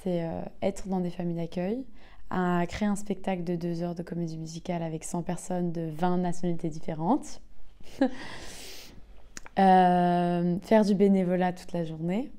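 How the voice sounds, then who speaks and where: A young woman from the south of France speaks in a relaxed, mellow tone, her voice sitting a little low. She talks her way along in an interview, filling pauses with hesitant sounds and laughing easily as she goes. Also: clear, resonant tone. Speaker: singer and actress, interview guest. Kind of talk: casual interview talk.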